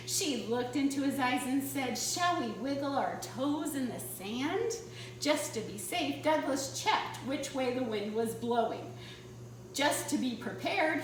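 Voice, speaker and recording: High-voiced woman storyteller, echoey room. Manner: expressive, dramatic. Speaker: children's storyteller. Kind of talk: animated picture-book read-aloud. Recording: very echoey room, distant mic, faint noise.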